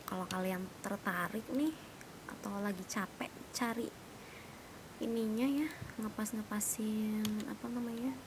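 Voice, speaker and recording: A woman's voice: mid-range voice, fairly even intonation, measured pace. A woman chatting casually and colloquially while playing an online roleplay game. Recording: clear background noise.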